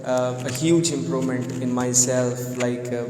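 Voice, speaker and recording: Male teacher from pakistan, pretty flat monotone, lots of uh and like, echoey room with a bit of hiss. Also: mid-range voice, moderate pace. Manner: earnest, hesitant, frequent "uh" and "like" fillers. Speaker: English teacher. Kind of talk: live video discussion.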